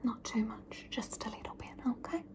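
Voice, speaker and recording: A woman's voice: fairly high pitch, gravelly. A woman whispering as a fussy, bossy mum. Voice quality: soft whisper. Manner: doting yet scolding, lingering and drawn-out. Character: fussy pampering mother, bossy, calls everyone darling. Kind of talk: ASMR roleplay.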